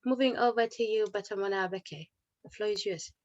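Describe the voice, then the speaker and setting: A woman moderating a discussion, brisk and polite as she thanks speakers and hands over the floor. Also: medium pitch, a little mellow. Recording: dull lo-fi audio.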